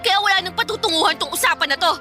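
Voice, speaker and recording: A woman's voice: very high-pitched, racing, pushed and bright. A woman playing a rebellious, grieving teenage stepdaughter in a radio drama, talking back with bitter sarcasm. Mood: bitter, angry. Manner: sarcastic, insolent back-talk. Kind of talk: Tagalog radio drama acting. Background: background music.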